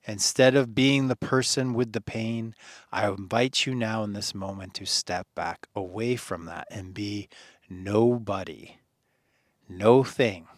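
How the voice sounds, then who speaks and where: A man leading a guided meditation in a soothing, earnest manner, phrasing deliberately with pauses and gentle encouragement. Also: on the low side, dry recording, clean studio sound.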